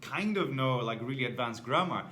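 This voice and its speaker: A man's voice: medium pitch, animated, moderate pace, bright, forceful tone, slight background noise. A man from Greece, a native Greek speaker, talking casually in English as an English teacher, with many fillers and restarts. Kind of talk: casual conversation.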